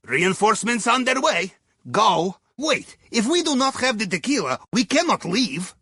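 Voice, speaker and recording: A man's voice: sits high, very animated, normal speed, pushed and bright, husky, dry recording, studio quality, full character voice. A man playing a jovial, wry Russian submarine captain in a video game, with a Russian accent and a playful, theatrical way of guiding his crew. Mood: wry, instructive. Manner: playful, theatrical, drops articles, tags sentences with questioning "yes?" or "huh?". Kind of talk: video game mission dialogue over comms.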